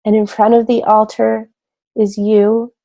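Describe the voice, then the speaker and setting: Female meditation guide: relaxed, warm tone, very slow delivery, gentle and soothing with spacious pauses. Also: on the high side, gravelly. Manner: gentle, unhurried, with long pauses between short phrases.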